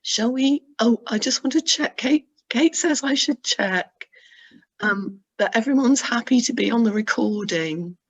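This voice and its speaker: A woman hosting an online church meeting on a video call, appreciative in tone and croaky from losing her voice. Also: a little high, measured pace, highs cut off. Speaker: meeting host.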